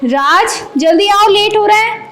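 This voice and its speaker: A haughty young woman sneers and dismisses everyone, as an actress plays her. Her voice is very high and shrill. The drama scene carries roomy echo and faint background music. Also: expressive, unhurried, a bit bright, full character voice. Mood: scornful.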